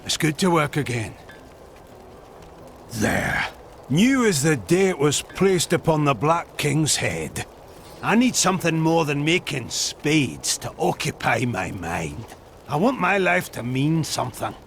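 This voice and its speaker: A gravelly weary blacksmith. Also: male voice, on the high side, sing-song, unhurried, fairly bright tone, a little hiss or hum, exaggerated voice. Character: weary male village blacksmith, grieving but gruff.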